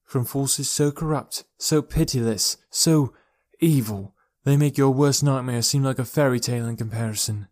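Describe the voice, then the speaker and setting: Passionate forest woman leader for a storybook, higher voice, firm authority, played by a man. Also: moderate pace, hardly any pauses, close to an everyday voice. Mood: grave, soft. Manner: frustrated, challenging, speaking with quiet authority. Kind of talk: audiobook character voice.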